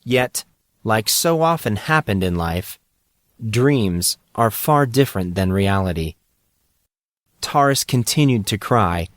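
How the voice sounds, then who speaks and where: A man's voice: mid-range voice, no room sound, studio quality. A man narrating a story as a scripted dramatic audiobook reading.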